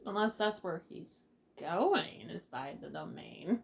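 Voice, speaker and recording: Girl gamer doing casual lets play commentary, slow unhurried talk, voice swings up and down a lot. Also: on the low side, lively intonation, creaky. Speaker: gamer, let's play host.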